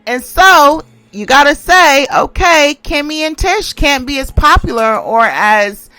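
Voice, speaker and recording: A woman's voice: sits high, animated, measured pace, sharp tone, no echo, faint music behind, poor audio. A woman giving a casual tarot reading and commentary in a conversational, emphatic way, repeating phrases to drive points home. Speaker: tarot reader and channel host.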